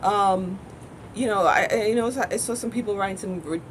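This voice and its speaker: A woman from the United States speaks in a spiritual vlog monologue with firm, earnest conviction. She rambles and often breaks off to correct herself in a mid-range voice. A faint vehicle rumble sits under her voice in the car. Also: moderate pace. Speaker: spiritual teacher.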